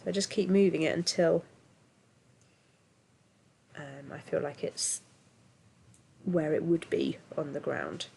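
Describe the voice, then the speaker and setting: A woman with a stuffy, bunged-up voice from a cold, talking casually through a software tutorial with lots of ums. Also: sits low, takes it slowly, gravelly, pauses often, slight background noise. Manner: chatty, self-deprecating, filler-heavy. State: congested from a cold. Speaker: tutorial host.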